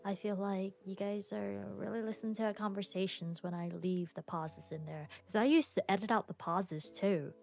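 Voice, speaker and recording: A tired young woman hosting her own podcast, talking slowly and softly with long pauses and small laughs. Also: mid-range voice, steady tone, vocal fry. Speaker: podcast host and streamer. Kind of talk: unscripted reflective podcast talk. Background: soft background music.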